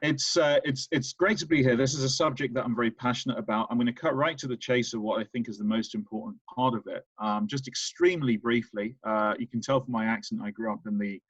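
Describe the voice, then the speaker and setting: A man's voice: medium pitch, fast talker, few pauses, breathy edge, no room sound. A man from the UK with a British accent, a management professor giving an earnest webinar talk over a video call with frequent restarts. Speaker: guest speaker, management professor. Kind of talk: webinar presentation. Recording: dry, dull lo-fi video call audio.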